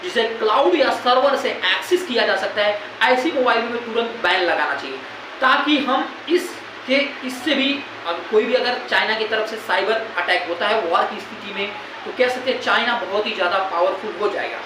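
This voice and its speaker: A woman's voice: medium pitch, animated, normal speed. Strong room echo, noisy background, woman presenter, Indian accent, explanatory informal delivery, repetitive phrasing. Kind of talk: informal explainer of current affairs. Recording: very echoey room, loud background noise.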